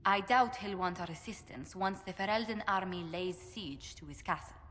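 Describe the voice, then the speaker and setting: Game diplomat: actress, bright forceful tone, mid pitch, articulate, formal, reverberant hall. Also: female voice, moderate pace, slight background noise, lightly acted. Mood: matter-of-fact. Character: brisk, diplomatic female court advisor. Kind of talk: dramatic game cutscene acting.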